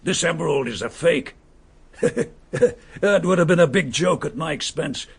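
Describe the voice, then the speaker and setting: A man's voice: a little high, moderate pace, creaky, slightly rough, heavily acted. An actor playing a bitter, mocking male murderer who posed as a lord, in a radio drama, speaking. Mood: sneering. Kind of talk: vintage radio drama acting.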